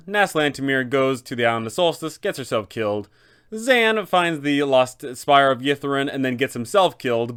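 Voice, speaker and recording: Clear-voiced man giving game master advice. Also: medium pitch, fairly bright tone. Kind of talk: explanatory narration with role-play advice.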